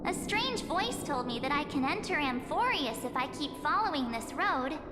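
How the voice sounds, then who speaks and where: An actress playing an uncanny memory spirit who poses as a perky girl in a video game, teasing, breezy and persuasive. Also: sits high. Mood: coaxing, breezy. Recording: long hall reverb, game music behind.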